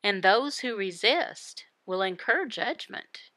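Slow, bright-voiced woman teaching scripture. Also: medium pitch, expressive, no echo. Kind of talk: Bible teaching, voiced devotional.

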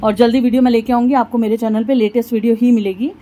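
Woman vlogger, soft mellow tone, chatty, shows fabrics to viewers in a market shop. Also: a little high, steady tone, racing. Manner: chatty, addresses viewers as guys. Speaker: shopping vlogger. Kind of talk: casual shopping vlog.

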